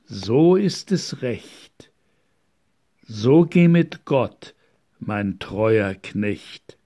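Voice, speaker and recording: A man voicing the gentle Christ Child in a recited Christmas poem, calling out urgently. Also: fairly low pitch, lively intonation, extremely slow, exaggerated voice. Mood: blessing.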